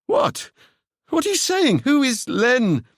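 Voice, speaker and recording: A man voicing a panicked young man who shouts desperate questions in a shrill voice. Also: sing-song, measured pace, no room sound, studio quality, heavily acted. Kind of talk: audiobook character voice.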